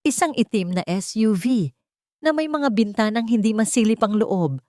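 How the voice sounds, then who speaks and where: A woman's voice: fairly high pitch, normal speed, darkish tone, no room sound, studio quality. A woman narrating a scripted drama in a solemn, dramatic way.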